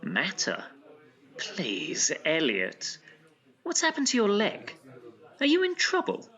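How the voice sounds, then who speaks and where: Proper, bright-voiced, animated gentleman. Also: high voice, slow, breathy edge, dull audio, heavily acted. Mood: worried. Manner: old-fashioned polite diction, dry. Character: proper, dryly teasing, concerned gentleman friend. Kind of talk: audio drama acting.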